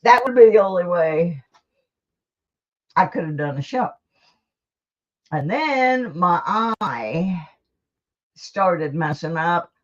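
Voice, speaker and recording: A woman's voice: lowish voice, animated, slow pace, pauses often. A woman hosting a live stream, chatting casually and rambling to her viewers in a folksy, self-deprecating way, on a dull lo-fi recording. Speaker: livestream host.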